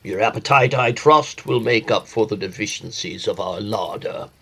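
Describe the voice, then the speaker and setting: Husky, imperious reclusive scholar played by a man. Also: lowish voice, moderate pace, a bit bright, full character voice. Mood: stiffly courteous. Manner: formal, imperious, stiffly courteous. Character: stern, reclusive scholarly master of a lonely house. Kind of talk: period audio drama acting.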